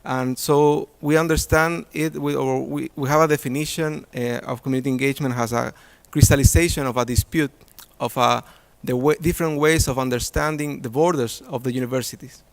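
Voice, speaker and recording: A man's voice: medium pitch, fairly even intonation, a little fast, gravelly, few pauses. Man presenting an academic talk. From Chile. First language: Spanish. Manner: hesitant, many fillers and restarts. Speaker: conference presenter.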